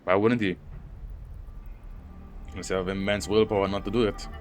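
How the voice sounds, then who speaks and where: Low-voiced man doing casual game commentary. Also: flat delivery, normal speed, soft background music. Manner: slangy, muttering asides at the game. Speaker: gamer reacting to a story game. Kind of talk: casual let's play commentary.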